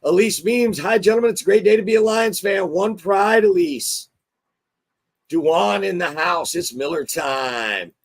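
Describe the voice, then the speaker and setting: A hoarse man hosting a casual sports talk show, chatty and excitable. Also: high voice, a little slow. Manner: chatty, excitable, restarts often. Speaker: show host.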